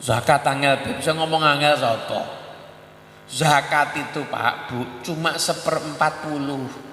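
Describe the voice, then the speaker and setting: A man's voice: a little high, a little slow, sharp tone. A man in his thirties with a Javanese accent, preaching a jokey sermon and playing out questions from the audience. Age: 30s. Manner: jokey, mixes languages, acts out audience questions. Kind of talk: Islamic sermon. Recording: echoey hall recording with hum.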